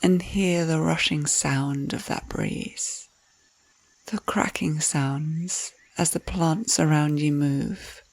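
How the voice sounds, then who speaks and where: A woman leads a guided visualisation in a low, soft voice with a creaky edge. She speaks very slowly and soothingly, giving gentle instructions and letting phrase endings turn breathy. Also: fairly even intonation, no echo. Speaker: guided meditation narrator. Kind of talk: guided visualisation, scripted narration.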